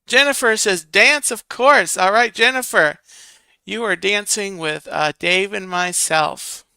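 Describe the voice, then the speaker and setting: A man's voice: high-pitched, a little slow, vocal fry, bright, forceful tone, smooth, no room sound. A man hosting a casual live stream, reading viewer comments and counting votes aloud while muttering to himself.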